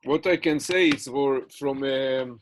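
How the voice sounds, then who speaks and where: A man's voice: medium pitch, fairly even intonation, measured pace, noisy background. A man giving interview answers in English, which is not his first language, with many fillers and restarts.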